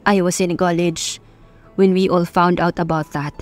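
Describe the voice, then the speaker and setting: A gentle young husband speaks low and softly as a woman acts him out. He is earnest and romantic, with a calm, hushed delivery suited to a romance audio drama. Music plays underneath. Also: unhurried, close to an everyday voice. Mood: sad, resigned.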